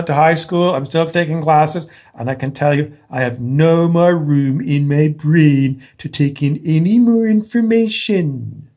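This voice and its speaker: Man playing a sullen high-voiced teenager. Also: darkish tone. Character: jaded eighteen-year-old student refusing to listen.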